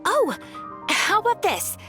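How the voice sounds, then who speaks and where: A woman voicing a composed, playfully sly young guild receptionist in a video game, speaking politely and with measured coyness. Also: extremely high pitch, huge pitch swings, bright, forceful tone, faint music behind, heavily acted. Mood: coy, teasing.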